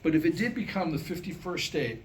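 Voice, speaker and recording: A man's voice: on the high side, lively intonation, a little fast, a little hiss or hum. A man giving a staged reading of his own script, mixing comic monologue with history storytelling in a wry, rhetorical way. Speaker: writer reading a comedic script aloud. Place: indoor staged reading with a few listeners reacting.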